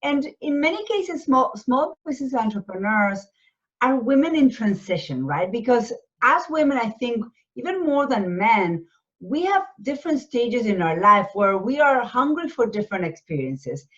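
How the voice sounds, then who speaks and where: A woman's voice: on the high side, big pitch swings, normal speed, a bit bright. Argentine-born woman, dull lo-fi sound. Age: 40s. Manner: explanatory, frequent rhetorical tags like "right?". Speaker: panelist, business coach. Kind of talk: conversational interview answers.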